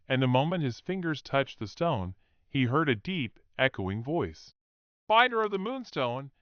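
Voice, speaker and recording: Slow, expressive male storyteller. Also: medium pitch, no room sound, muffled recording. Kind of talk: scripted children's story narration for English learners.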